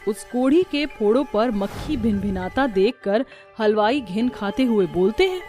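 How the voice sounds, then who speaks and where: A female narrator tells a scripted cartoon story. Her voice is somewhat high. Soft music runs underneath. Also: expressive, a little slow.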